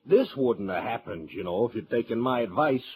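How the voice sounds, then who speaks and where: A man's voice: mid-range voice, expressive, brisk, highs cut off, natural voice. A man with an American accent playing a pompous, appeasing, callous townsman in a radio western. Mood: agitated persuasion. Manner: blustering, pompous. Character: self-important, appeasing frontier townsman, blustering and callous. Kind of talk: mid-century radio western acting. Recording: noisy old radio recording.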